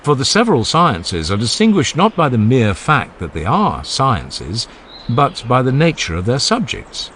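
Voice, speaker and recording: A man narrating an audiobook of a classical philosophical dialogue in a measured, formal and emphatic way. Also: mid-range voice, wildly swooping pitch, a bit bright, a little hiss or hum. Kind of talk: dramatized scripted reading of a classical philosophical dialogue.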